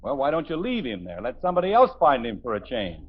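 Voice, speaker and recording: A man's voice: medium pitch, huge pitch swings, fast talker. An American actor playing an exasperated, sarcastic suburban father in a 1950s radio comedy. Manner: sarcastic, grumbling. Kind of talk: 1950s radio sitcom acting. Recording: muffled 1950s radio recording.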